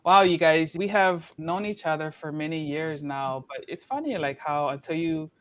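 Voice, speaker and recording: A man's voice: a little high, normal speed, fairly bright tone, smooth. A man hosting a podcast panel, introducing guests in a chatty, upbeat way and sprinkling in Hawaiian words. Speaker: podcast host.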